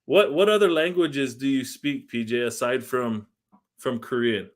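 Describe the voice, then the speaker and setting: A man's voice: medium pitch, measured pace. A man hosting a podcast, chatting casually with a guest and laughing along as he reminisces. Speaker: podcast host. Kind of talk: casual interview chat.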